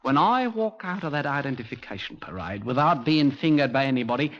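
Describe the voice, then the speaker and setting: A man's voice: mid-range voice, lively intonation, brisk, lightly acted. Casting: male actor as young petty crook, insolent and evasive, slangy, vintage radio crime drama, dull old recording. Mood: defiant, bargaining. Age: young adult. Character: cocky, wary young male ex-borstal crime suspect. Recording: muffled 1950s radio recording.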